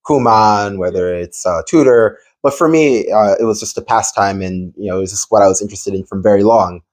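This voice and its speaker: A man from New York, an astronomer guest in an interview, talking modestly with lots of pauses and fillers. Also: fairly low pitch. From New York City, USA. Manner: hesitant, many fillers, modest. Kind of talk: casual interview chat.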